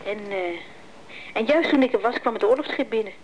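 A woman's voice: a little high, expressive, brisk, highs cut off, a crowd in the background, lightly acted. An actress playing a wistful, affectionate married woman in a radio play, asking intimate, probing questions. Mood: insistent. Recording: noisy radio play recording.

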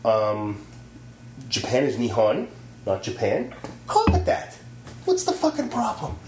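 Dude ranting and cursing, voice jumps all over, echoey room w faint hum. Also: male voice, mid-range voice, unhurried. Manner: animated ranting, swears freely. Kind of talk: casual opinionated chat. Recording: roomy echo, faint hum.

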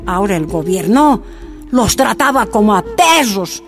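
A woman's voice: mid-range voice, sing-song, measured pace, faint music behind. A woman with a Bolivian accent playing a bitter, indignant miners' wife giving testimony in a radio drama.

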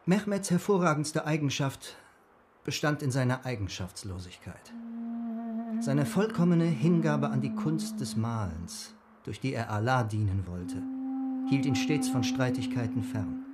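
An actor playing an Ottoman miniature painter in a radio drama, telling fables in a deliberate, formal way with drawn-out emphasis. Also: mid-range voice, very animated, heavy background noise. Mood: measured storytelling. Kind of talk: literary radio drama storytelling. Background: music.

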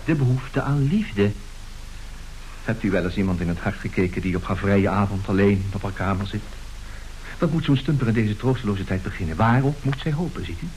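An actor playing a formal, excitable, grandiloquent gentleman given to florid speech in a radio play. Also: on the low side, brisk, close to an everyday voice, poor audio. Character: flustered, grandiloquent gentleman dealer in love letters. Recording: hissy old radio drama recording.

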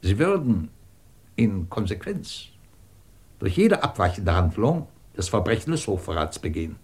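A man's voice: on the low side, very animated, normal speed, a little mellow. A man acting a middle-aged, imperious and sardonic British professor who runs a research department, in a commanding 1950s German radio drama style. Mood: stern, solemn. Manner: commanding, clipped, probing, sardonic.

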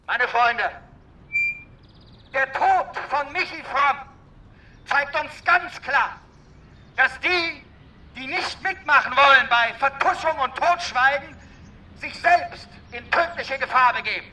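An actor playing a fervent activist leader giving a solemn, rallying, declamatory speech in a radio drama, heard from a distance. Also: male voice, very high-pitched, slow, long pauses, bright, forceful tone, heavily acted. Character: fervent male activist leader addressing followers.

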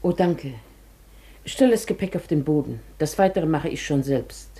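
A woman's voice: low-pitched, moderate pace, warm tone. An actress playing a polite, evasive, formerly wealthy lady traveller in a German radio play. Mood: composed, wistful.

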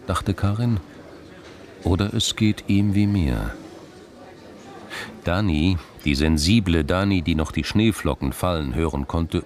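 Male narrator, deep register, literary crime radio drama, scripted read. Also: vocal fry.

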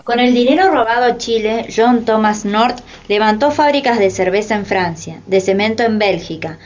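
A woman's voice: fairly high pitch, normal speed, a little mellow, slight background noise. A woman delivering scripted narration for a radio drama. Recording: slightly dull lo-fi sound.

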